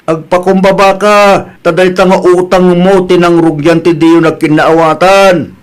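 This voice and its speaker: Guy doing a scolding ilocano village husband, voice on the high side, fed up but preachy, audio drama. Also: level tone, a little slow. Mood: admonishing. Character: chiding village husband urging humility and repayment.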